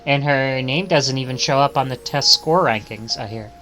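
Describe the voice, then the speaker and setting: A man's voice: medium pitch, normal speed, pushed and bright, faint music behind. A man reading visual novel text aloud as a streamer, stumbling and correcting himself, with casual asides.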